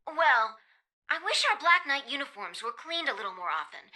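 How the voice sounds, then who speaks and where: A woman's voice: sits high, very animated, normal speed, bright, forceful tone, exaggerated voice. An actress playing an earnest, forthright teenage girl rebel in an anime audio drama. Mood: earnest, puzzled.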